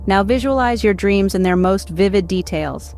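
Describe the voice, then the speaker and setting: A woman narrating a scripted guided meditation in a measured, instructional way. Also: medium pitch, darkish tone. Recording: background music behind voice. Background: music.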